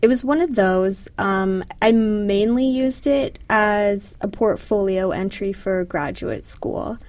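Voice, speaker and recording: Young woman from Canada, mid-pitched voice, articulate interview guest, dry close mic. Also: slow, darkish tone, dry recording. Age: young adult. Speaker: podcast guest, graduate student. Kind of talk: interview answers.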